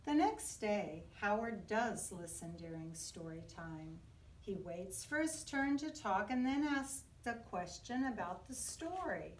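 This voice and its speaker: An echoey room surrounds this woman, who sits a little back from the mic. She reads a children's storybook aloud in an expressive, very lively voice, shaping it deliberately for small kids. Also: medium pitch, distant mic, slight background noise. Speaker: children's book reader. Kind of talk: read-aloud picture book narration.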